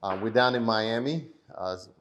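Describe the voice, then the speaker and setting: A man hosting a casual interview show, relaxed, with short acknowledgements. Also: fairly low pitch, moderate pace. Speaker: host.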